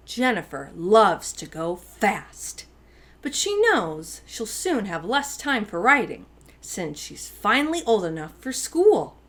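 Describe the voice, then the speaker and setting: Female storytime host, expressive read-aloud, medium pitch, slow delivery, emphatic key words.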